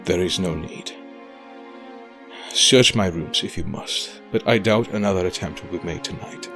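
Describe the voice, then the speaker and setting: A man playing a weary, dignified older king and gentle father in an audio drama, formal and measured. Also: deep voice, soft background music. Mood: grave, reassuring.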